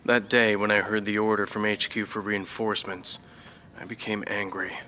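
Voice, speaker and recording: An actor playing a middle-aged former fighter ace, now a professor, who speaks in a measured, brooding, reflective way in a game cutscene. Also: male voice, lowish voice, flat delivery, bright, forceful tone. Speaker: former fighter pilot interviewed.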